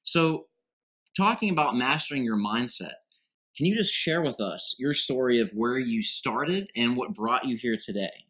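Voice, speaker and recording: A man's voice: medium pitch, lively intonation, a little slow, fairly bright tone, breathy edge. A man hosting a podcast interview, emphatic and addressing listeners directly. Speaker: podcast host.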